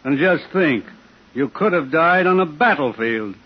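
An actor playing a menacing, mocking man who gloats and taunts in a husky voice in a 1950s radio thriller. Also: on the high side, lively intonation, measured pace. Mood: mocking menace. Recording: dull 1950s radio recording.

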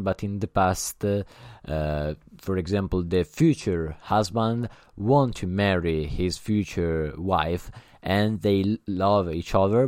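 Italian man hosting a podcast in English, low and hesitant, lots of pauses and fillers. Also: a little mellow, no room sound, clean studio sound. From Italy. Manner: hesitant, many filler sounds, searching for words. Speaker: podcast host. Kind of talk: casual podcast monologue.

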